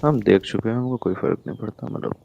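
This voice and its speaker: A man's voice: on the low side, rapid-fire, vocal fry, warm tone, dull audio. A man with an Indian accent giving flippant, offhand live commentary as a game streamer. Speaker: game livestreamer.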